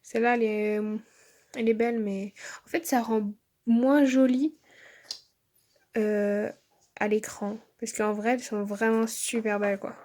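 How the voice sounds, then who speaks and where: A young woman vlogs from her bedroom into a phone at arm's length. Her voice is mellow and a little high, roughened by a slight hoarseness from a sore throat. She rambles casually, padding her sentences with fillers and restarts. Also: little pitch movement, measured pace. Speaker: vlogger. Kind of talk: casual vlog chat. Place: bedroom at home. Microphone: phone held at arm's length.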